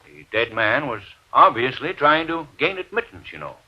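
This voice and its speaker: An actor playing a folksy, stammering small-town sheriff's officer in an old radio mystery, halting and peppering his talk with filler phrases. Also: mid-range voice, very animated, husky. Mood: formal, demonstrative. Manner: hesitant, stammering, repeating "you know". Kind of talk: 1940s-50s radio drama acting. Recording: dull vintage radio broadcast recording.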